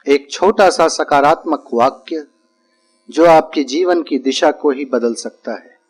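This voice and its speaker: Slow-speaking man guiding yoga nidra. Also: a little high, poor audio. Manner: calm, deliberate, repeats phrases with pauses between short instructions. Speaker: yoga nidra instructor guiding a relaxation practice. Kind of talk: guided meditation instruction.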